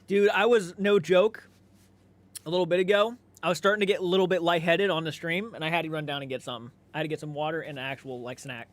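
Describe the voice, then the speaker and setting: A man's voice: a little high, fairly even intonation, fast talker. A man, maybe in his thirties, chatting casually as a livestream host, laid-back and conversational, swearing freely and responding to viewer comments.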